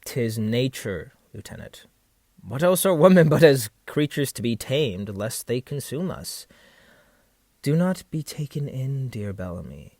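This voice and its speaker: Man playing scheming period military captain, widely swooping pitch, florid, seductive, pausing rhetorically, dry close sound, audio drama. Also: animated, darkish tone, no room sound. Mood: smooth, manipulative. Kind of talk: stage-play style period audio drama acting.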